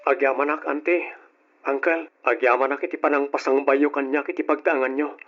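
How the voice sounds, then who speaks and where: An actor playing a put-upon young nephew in an Ilocano radio drama, speaking with an Ilocano Filipino accent. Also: male voice, mid-range voice, level tone, a little fast, soft background music, natural voice. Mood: hurt, polite. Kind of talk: old-style Ilocano radio drama acting. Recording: dull, lo-fi radio drama recording.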